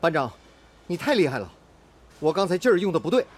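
Young male factory trainee, high voice, lively intonation, earnest and polite, radio drama, faint noise. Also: fast talker. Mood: eager. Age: young adult. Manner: eager, polite, hesitant. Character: eager, earnest 19-year-old trainee fitter, male.

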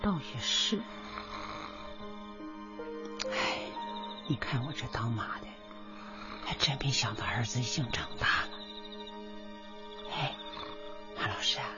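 A woman's voice: a bass voice, lively intonation, measured pace, pauses often. An actress playing a doting, fussing middle-aged mother in a radio drama, with homely, exclamatory speech. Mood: worried, hushed. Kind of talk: Chinese radio drama acting. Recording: dull lo-fi radio drama with music.

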